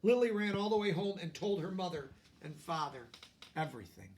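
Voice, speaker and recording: A man reading a storybook aloud to young children, expressive, with simple explanatory asides. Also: sits high, fairly quick, a little hiss or hum. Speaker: storybook reader.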